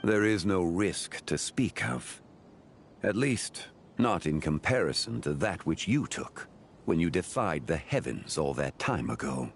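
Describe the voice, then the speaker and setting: A man playing a grave, composed ancient god in a fantasy game, speaking in a measured, solemn and formal way. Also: sits low, very animated, a little hiss or hum, exaggerated voice. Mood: solemn, resolute. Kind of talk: fantasy game cutscene acting. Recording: music behind the voice.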